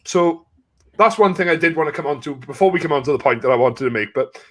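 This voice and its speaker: A man's voice: a little high, animated, fast talker, a bit bright. A man hosting a live sports watch-along, chatting casually and matily with a guest and putting questions to him. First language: not French. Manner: chatty, matey address, asks questions.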